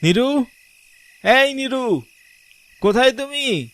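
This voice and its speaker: A man's voice: extremely high pitch, lively intonation, slow, a bit bright, pitched above the performer's own voice, exaggerated voice. A man voicing an anxious, exasperated cartoon village husband who talks insistently in colloquial Bangladeshi Bengali. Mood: worried calling. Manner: colloquial rural dialect, pleading and insistent. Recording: music behind the voice.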